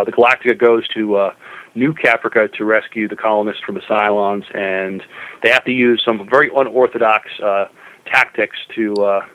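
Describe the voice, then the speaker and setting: A man co-hosting a casual science fiction podcast, speaking in a hesitant, halting way on a dull, lo-fi recording. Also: fairly low pitch, no room sound. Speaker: podcast co-host. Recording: dull, lo-fi voice channel.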